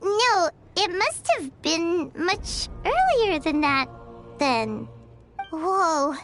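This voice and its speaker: Squeaky, childlike little fairy companion. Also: female voice, extremely slow, full character voice. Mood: puzzled, amazed. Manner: chatty, expressive, inquisitive. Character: tiny, perky, childlike female fairy companion. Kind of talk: video game voice acting. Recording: background music under dialogue.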